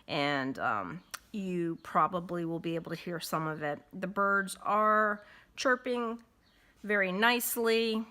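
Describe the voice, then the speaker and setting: A congested woman chatting casually as she stitches in a home vlog, in an unhurried, friendly way. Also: mid-range voice, sharp tone. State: congested from a cold. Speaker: cross-stitch vlogger. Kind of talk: casual chat while stitching. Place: at home with windows closed.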